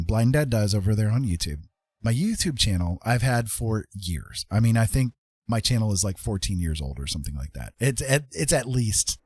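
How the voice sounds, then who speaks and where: A man's voice: fairly low pitch, darkish tone, husky. A man hosting a livestream, chatting casually and personably to viewers in a rambling way. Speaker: livestream host.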